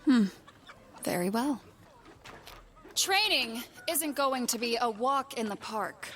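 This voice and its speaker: Woman playing a stern, bright-voiced knight captain. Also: on the high side, animated, slow, slight background noise. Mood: stern, commanding. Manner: commanding, firm, no-nonsense. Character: stern female knight captain training recruits, tough but fair. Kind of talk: scripted audio drama acting.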